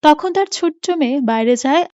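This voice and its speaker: A woman's voice: high voice, animated, a little fast, mellow, no echo. A woman reading scripted story narration for a moral cartoon. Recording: muffled audio with faint music behind.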